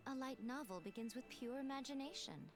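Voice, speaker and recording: An actress playing a sly, teasing and imperious fox shrine maiden in a game trailer, haughty and languid. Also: female voice, high voice, brisk, slight background noise, lightly acted. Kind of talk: game character trailer acting.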